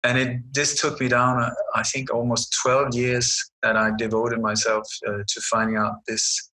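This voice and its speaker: Emphatic man, bright voice. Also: mid-range voice, level tone, moderate pace, low-quality recording. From Sweden. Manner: emphatic and sarcastic, storytelling. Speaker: guest researcher. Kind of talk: interview answers.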